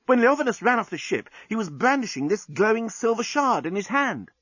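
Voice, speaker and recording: A man voicing an excitable young male journalist who blurts out eager exclamations. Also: high-pitched, a little fast, no room sound, highs cut off, voice pushed up, heavily acted. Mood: excited.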